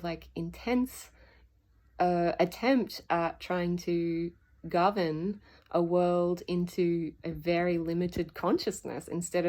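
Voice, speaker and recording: Earnest woman rambling about healing, lowish voice, stops to find her words. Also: a little mellow. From Solomon Islands. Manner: earnest, meandering, searching for words. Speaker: spiritual healing channel host. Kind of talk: reflective solo monologue. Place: outdoors at dusk.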